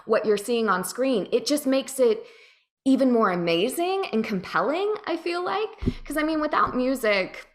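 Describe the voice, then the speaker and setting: Female guest, fairly high voice, enthusiastic and conversational, chatting about her craft. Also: animated, moderate pace. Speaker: guest voice actor. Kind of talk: casual chat.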